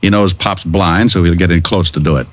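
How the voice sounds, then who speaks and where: An actor playing a confident, wisecracking private detective who also narrates a 1950s radio drama. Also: male voice, sits low, steady tone, brisk, no echo, natural voice. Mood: wry. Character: wisecracking male private eye, cool. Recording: dull old radio broadcast. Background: music.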